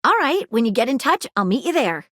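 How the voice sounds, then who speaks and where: An earnest detective object in a dating game. She talks in formal, precise phrases with a lively, swinging pitch a little above the usual. The sound is close and dry. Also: a little fast, no echo. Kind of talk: game dialogue clips. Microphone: studio booth mic.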